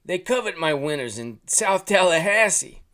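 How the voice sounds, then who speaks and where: Storybook goose, played by a man: Jersey accent, loud, exasperated, pitched up high. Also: sing-song, moderate pace, exaggerated voice. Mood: proud, grumbling. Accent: New Jersey. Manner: loud, exasperated, emphatic delivery. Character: brave, excitable, indignant talking goose with broken honk.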